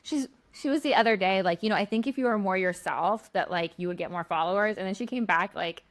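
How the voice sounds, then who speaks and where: A woman's voice: medium pitch, fast talker. A woman guest on a podcast, a business owner chatting casually and laughing often, full of filler words. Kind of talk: casual interview chat.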